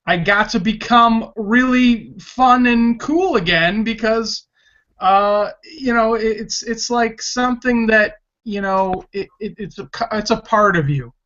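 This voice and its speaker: A man's voice: high voice, fairly bright tone. Eager thirty-something man, lo-fi sound. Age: 30s. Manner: enthusiastic, rambling with restarts. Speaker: podcast host. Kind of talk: casual podcast interview. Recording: dull lo-fi sound.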